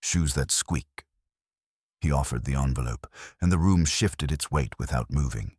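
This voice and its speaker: A man reading detective fiction in the first person as a narrator, with wry, measured storytelling. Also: very deep voice, darkish tone, breathy edge, no echo, clean studio sound. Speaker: audiobook narrator.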